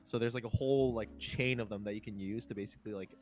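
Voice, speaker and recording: A man's voice: fairly low pitch, steady tone, fast, darkish tone, soft background music. A man co-hosting a casual chat, rambling through explanations with plenty of filler words. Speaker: podcast co-host.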